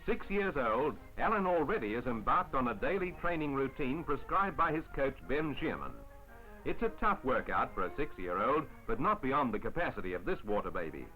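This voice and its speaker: A man narrating a 1950s newsreel in a formal, measured announcer style on an old film soundtrack. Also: mid-range voice, big pitch swings, sharp tone, poor audio. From Australia. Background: music.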